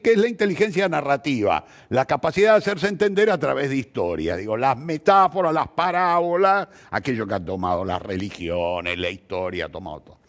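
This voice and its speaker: An older man with a Rioplatense Argentine accent explaining ideas reflectively in conversation, in an emphatic, didactic manner with rhetorical questions. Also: mid-range voice. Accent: Rioplatense Argentine Spanish. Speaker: interview guest, theatre practitioner.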